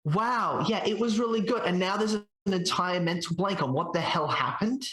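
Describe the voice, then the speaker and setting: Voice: mid-range voice, normal speed, a bit bright. Podcast co-host, rambling joking storyteller, echoey room sound. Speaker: podcast co-host, senior editor. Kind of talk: casual podcast chat.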